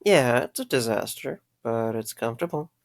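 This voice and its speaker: A man's voice: mid-range voice, animated, moderate pace, slight background noise, lightly acted. An actor playing a tired, earnest young man who wants to reopen a pizzeria, talking with hesitant fillers. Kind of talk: fan audio drama acting.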